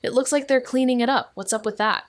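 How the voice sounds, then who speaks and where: A woman playing a measured, brooding amateur sleuth in a noir audio drama, with a dry, understated delivery. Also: a little high, steady tone, no room sound, lightly acted. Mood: guarded.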